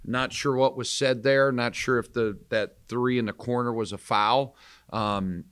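An American man in his forties, a college basketball coach, answering press questions in a measured, candid way with pauses to think. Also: medium pitch, steady tone, a bit bright, clean tone. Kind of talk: postgame press conference answers. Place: indoor press conference room, seated at table. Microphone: microphone on table stand.

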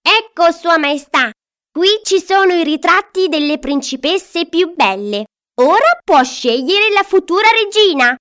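Woman doing excited, high-pitched fawning royal minister. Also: unhurried, exaggerated voice. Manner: excited, exclaiming. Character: eager, fawning royal ministers, male courtiers.

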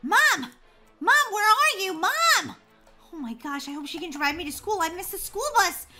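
A woman's voice: high voice, fast, pushed and bright, faint music behind. A woman voicing a whiny, dramatic schoolgirl who pleads and exclaims in an acted family story. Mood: panicked.

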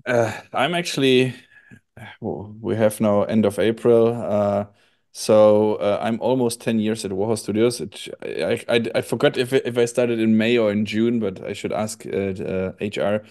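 German-accented man, low and relaxed. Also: unhurried. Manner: relaxed, hesitant, many fillers. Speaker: studio PR manager. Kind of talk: casual interview answers. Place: small room indoors. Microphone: headset on a video call.

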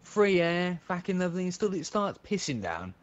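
A man playing a cheeky British gentleman wheeler-dealer in an improvised online game role-play, chatty, slangy and sweary. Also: sits high, sing-song, moderate pace, muffled recording. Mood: boastful, chatty. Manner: slangy, sweary, rambling and matey.

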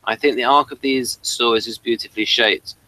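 A middle-aged man with a bright, forceful voice reads notes aloud at his desk, stumbling now and then. The sound is close and dry, with no room around it. His tone stays conversational. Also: mid-range voice, fairly even intonation, brisk, no echo, rough recording. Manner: reads text aloud steadily from a tablet, with small stumbles. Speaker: podcast host. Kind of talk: casual review chat, reading liner notes aloud. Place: home study with bookshelves. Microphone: desk mic, wearing headphones.

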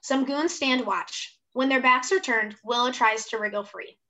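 A woman's voice: high voice, normal speed, bright, forceful tone. A woman in her twenties reading scripted stage directions for a play over a video call. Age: 20s. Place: room at home, indoors.

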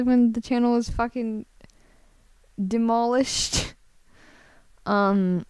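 Slow-talking young woman, clear smooth voice. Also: medium pitch, dry recording. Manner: hesitant, rambling, sweary, self-deprecating. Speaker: streamer. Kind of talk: casual livestream chat while drawing. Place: indoors at a computer, screen-share livestream.

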